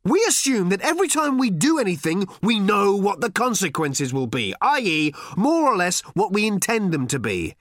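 A frazzled, sarcastic everyman, played by a man reading an audiobook, who climbs into shrill, bellowing rants whenever he is pushed too far. His voice leaps wildly up and down in pitch. It is recorded close and completely dry. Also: dry recording, exaggerated voice. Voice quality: exasperated, shouting sarcastic rants. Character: frazzled sarcastic middle-aged Englishman, man.

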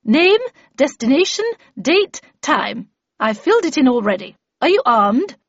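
A woman voicing a brisk, imperious, battle-hardened older female mentor in an audiobook, with clipped, commanding, matter-of-fact delivery. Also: sits high, sing-song, dry recording, muffled recording, pitched above the performer's own voice, exaggerated voice. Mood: businesslike. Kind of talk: audiobook character voice.

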